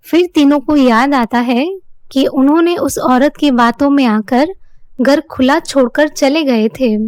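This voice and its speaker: Slow, high-pitched woman storyteller. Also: a little mellow, no room sound. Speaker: narrator. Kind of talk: scripted children's moral story narration.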